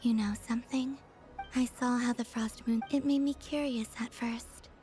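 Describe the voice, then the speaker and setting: A woman voicing a gentle, curious young moon goddess in a video game, with a measured, airy, wistful manner. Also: a little high, fairly even intonation, soft background music, lightly acted. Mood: wistful, hushed. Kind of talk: animated video game voice acting.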